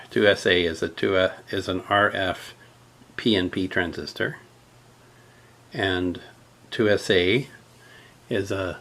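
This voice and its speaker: A man giving an unscripted hands-on repair tutorial, thinking aloud haltingly as he works. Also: sits low, a bit bright. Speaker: host of a radio repair video.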